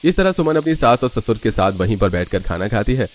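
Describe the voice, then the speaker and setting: A man's voice: on the low side, big pitch swings, brisk, a little hiss or hum. A man narrating a scripted family story.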